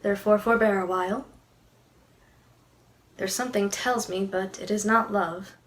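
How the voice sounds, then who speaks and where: A woman's voice: medium pitch, fairly even intonation, normal speed, slight background noise, natural voice, rough recording. Woman acting a lovestruck young noblewoman in Shakespeare. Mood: tender, pleading. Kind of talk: Shakespearean verse monologue.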